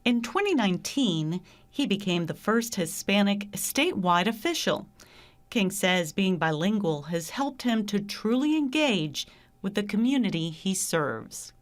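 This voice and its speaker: A woman reporter narrating a news package in a steady, even voice-over. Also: medium pitch, a little slow.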